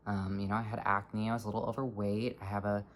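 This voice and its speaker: A person in their twenties with a low voice, talking earnestly in a halting, reflective personal vlog. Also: flat delivery, a little hiss or hum. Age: 20s. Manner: earnest, halting, searching for words. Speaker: vlogger.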